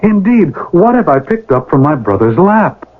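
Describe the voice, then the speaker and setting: An actor playing an earnest, righteous older brother, theatrical and formal in an old-time radio drama. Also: male voice, fairly high pitch, sing-song, normal speed. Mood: pointedly polite. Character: earnest, righteous older brother, a pleading moralist, narrating his past. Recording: noisy, tinny vintage radio recording.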